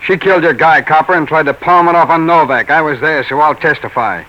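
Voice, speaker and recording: An actor playing a callous, double-crossing bookie, smug and menacing, with sneering tough talk in a 1940s radio drama style. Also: male voice, a little high, fairly quick, lightly acted. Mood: smug, threatening. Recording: muffled, hissy 1940s radio recording.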